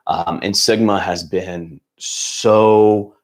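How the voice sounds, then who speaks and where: A young man with a very low voice runs a relaxed livestream chat from his home setup. He sounds hoarse, as if he is close to losing his voice. He rambles in an upbeat way, full of fillers and false starts. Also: drawn-out words. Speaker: channel host.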